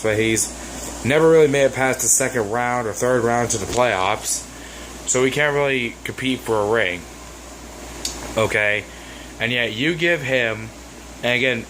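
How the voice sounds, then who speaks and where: A man with a hoarse voice, ranting about sports in a casual vlog, rambling and exasperated with lots of fillers. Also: medium pitch, a bit bright, slight background noise, poor audio. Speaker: sports vlogger.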